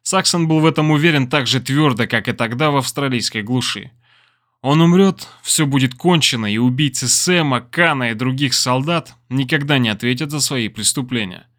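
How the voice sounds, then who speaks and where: A man reads dramatic fiction as an audiobook narrator. His mid-range voice is deliberate and expressive, telling the story from a script. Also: medium pitch.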